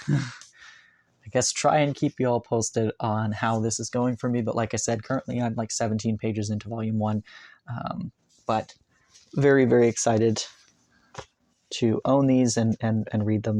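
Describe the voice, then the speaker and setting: A man's voice: medium pitch, mellow. A man talking casually and enthusiastically about his manga purchases, rambling with many ums and uhs. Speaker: manga collector vlogger. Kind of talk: casual haul video talk. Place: bedroom, indoors.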